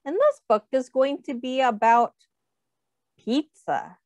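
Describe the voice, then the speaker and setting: A woman teacher reading a picture book aloud to children, pausing to ask them questions and putting on small voices for the characters, speaking into a webcam. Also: sits high, dry recording. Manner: animated, asks children questions, does little character voices.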